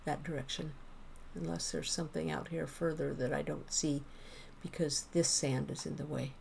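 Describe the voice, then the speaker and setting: A woman streaming a game, chatting casually with viewers and rambling as she thinks out loud. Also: deep voice, little pitch movement, normal speed, creaky, slight background noise. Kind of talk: casual gameplay chat with viewers.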